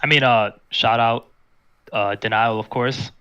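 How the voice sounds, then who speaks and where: A young man speaking as a guest in a casual interview, talking in a flat, monotone way with many restarts. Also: mid-range voice, measured pace, smooth, lo-fi sound. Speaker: guest, competitive fighting game player.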